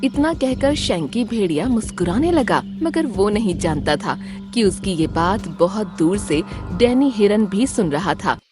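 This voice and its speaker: Animated woman storyteller with music behind. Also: medium pitch, a little slow. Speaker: story narrator. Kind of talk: scripted storytelling narration for children's cartoon.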